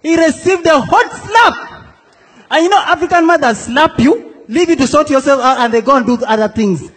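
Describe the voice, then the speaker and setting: Young guy from south sudan doing stand-up, really high voice, big hall with laughter. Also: expressive, normal speed, fairly bright tone. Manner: playful, acts out quoted characters. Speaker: stand-up comedian. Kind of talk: stand-up comedy set. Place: comedy stage in a large hall before a laughing audience.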